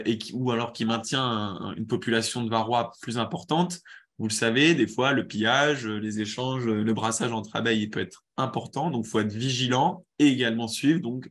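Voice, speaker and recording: A man with a head cold presenting in a webinar, explaining a method in a halting way with many restarts. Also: mid-range voice, a bit bright.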